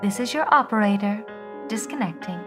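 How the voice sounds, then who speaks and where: An actress playing a sardonic, world-weary 1950s switchboard operator, delivering a deliberate, theatrical monologue. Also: medium pitch, lively intonation, music in the background, close to an everyday voice. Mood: weary, wistful. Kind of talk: scripted dramatic monologue.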